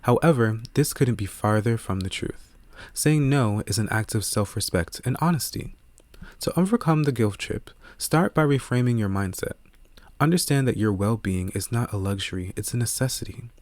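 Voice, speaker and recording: A man reading scripted audiobook narration in a measured, earnest way, close to the microphone. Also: lowish voice, lively intonation, darkish tone, dry recording.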